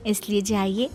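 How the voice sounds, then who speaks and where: A woman voicing a stubborn, cheeky young bride who talks back in a cartoon family drama. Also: mid-range voice. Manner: pert, mock-sweet back-talk. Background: background music.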